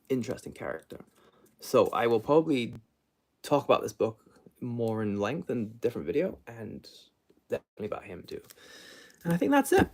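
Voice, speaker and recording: Male book livestreamer, gravelly and animated in intonation, unscripted, rambling, halting delivery. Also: mid-range voice. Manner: rambling, halting, self-correcting, trailing off. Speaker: book vlogger hosting a livestream. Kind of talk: casual unscripted livestream chat.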